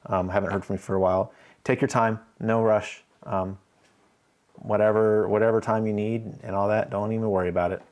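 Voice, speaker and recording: A man's voice: on the low side, flat delivery, fairly quick, darkish tone. A man hosting a casual live stream, thinking aloud as he works and chatting easily with viewers. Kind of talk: casual live stream chat while working.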